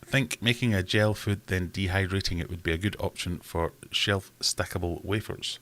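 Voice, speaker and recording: A man's voice: low-pitched, steady tone, moderate pace, a bit bright. A man hosting a casual livestream from home, rambling and self-deprecating as he reads out chat comments. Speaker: livestream host.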